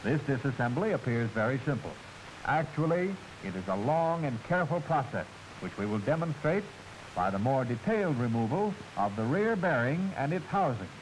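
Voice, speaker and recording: An American man narrating a 1940s military training film in a formal, clearly enunciated, instructive manner, on an old film soundtrack. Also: mid-range voice, very animated, moderate pace, slight background noise, rough recording.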